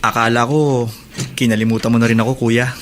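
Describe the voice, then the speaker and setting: A man's voice: medium pitch, little pitch movement, fairly quick, noisy background, close to an everyday voice. A man acting as an earnest young prisoner and younger brother in a Tagalog radio drama, with emotional delivery. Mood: wistful.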